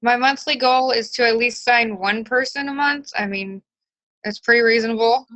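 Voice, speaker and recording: A woman's voice: on the high side, moderate pace, a bit bright, no echo, rough recording. A woman on a casual group video call, chatty and upbeat.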